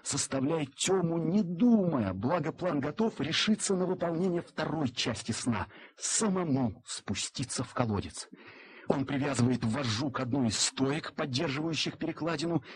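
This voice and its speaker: A man narrating a literary story dramatically, with expressive theatrical delivery and deliberate pauses. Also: a little high, low-quality recording.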